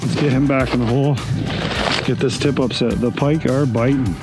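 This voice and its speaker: A young man chatting excitedly to viewers while ice fishing, recorded inside a small tent on a body-worn camera. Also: medium pitch, moderate pace, over loud music. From Canada. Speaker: fishing vlogger. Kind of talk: casual first-person narration while fishing. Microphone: action camera worn on the body.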